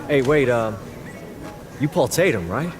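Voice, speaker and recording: A man's voice: mid-range voice, animated, unhurried, close to an everyday voice. A man playing a confident, easygoing young rookie basketball player with casual, slangy speech in a video game cutscene. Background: music.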